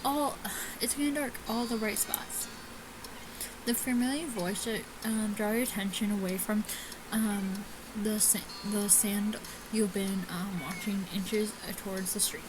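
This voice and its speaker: A woman hosting a casual let's play, reading game text aloud in a halting way with small stumbles. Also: medium pitch, steady tone. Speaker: gaming video host. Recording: clearly noisy home recording.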